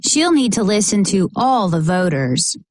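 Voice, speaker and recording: A woman reading a children's picture book aloud in a measured, expressive way, narrating and acting out short bits of dialogue. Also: mid-range voice, no echo, clean studio sound.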